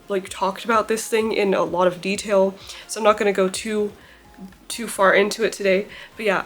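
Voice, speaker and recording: A young woman vlogging casually to camera at home, chatty and bubbly with lots of laughs and fillers, her voice a little off from a recent illness. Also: medium pitch. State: recovering from illness, slightly off voice. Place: indoors at home by a plain wall.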